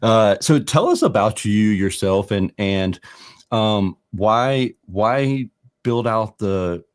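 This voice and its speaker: A man's voice: on the low side, animated, takes it slowly. A man co-hosting a podcast over a remote call, talking casually with a teasing, joking manner. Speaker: podcast co-host. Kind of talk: casual podcast introduction and banter.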